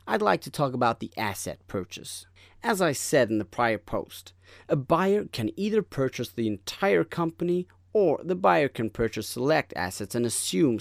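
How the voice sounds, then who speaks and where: A man narrates a written business piece in a deliberate, clearly phrased style. His mid-pitched voice rises and falls in a lively way. The sound is dry and close, with very little room in it. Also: dry recording. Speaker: narrator reading a blog post aloud. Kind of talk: scripted narration of a business article.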